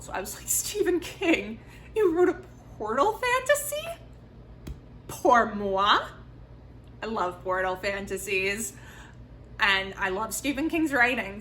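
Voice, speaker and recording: A woman's voice: mid-range voice, bright, forceful tone, slight background noise. A young adult woman chatting casually as a book vlogger, with an emphatic, opinionated and informal way of talking. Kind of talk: casual chat to camera, book review.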